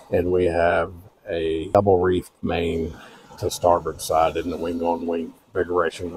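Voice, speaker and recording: A man giving a calm, matter-of-fact spoken log while on a night watch at sea. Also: deep voice, vocal fry, a little hiss or hum, low-quality recording.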